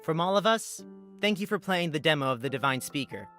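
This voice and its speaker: A woman voicing an uneasy exiled young man, the earnest and halting hero of a fantasy visual novel. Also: sits low, animated, fairly bright tone, pitched below the performer's own voice. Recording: music playing behind the voice.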